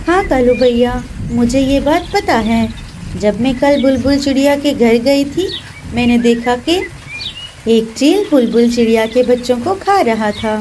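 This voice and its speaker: Woman voicing a high, earnest mother sparrow, brave and clever. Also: a little slow, background noise, lightly acted. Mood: worried. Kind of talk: Hindi children's cartoon dialogue.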